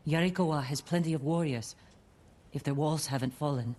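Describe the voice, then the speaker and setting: A woman's voice: low voice, fairly quick, darkish tone. An actress playing a tough, cynical woman thief in a video game, with a blunt, sardonic delivery. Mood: guarded, blunt. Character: tough, cynical female thief, self-reliant survivor. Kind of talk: dramatic video game acting.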